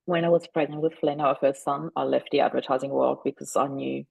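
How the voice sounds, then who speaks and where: Lady in her 40s on a podcast, gravelly voice, earnest and reflective. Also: a bass voice, steady tone, brisk, darkish tone, dry recording. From Austria. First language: German. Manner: earnest, reflective, emotional retelling. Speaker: podcast guest. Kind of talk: interview storytelling.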